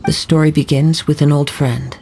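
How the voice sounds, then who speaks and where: An actor playing a calm, reflective young samurai in a game, measured and soft-spoken. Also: male voice, a little high, noisy background, close to an everyday voice. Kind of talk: game voice acting. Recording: game audio over music.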